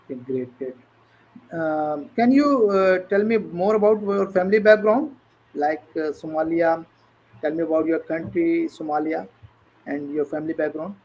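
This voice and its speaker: A man's voice: a little high, moderate pace, a little mellow, lo-fi sound, slight background noise. A man from Pakistan hosting a live online interview, hesitant with many fillers and restarts. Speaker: interview host.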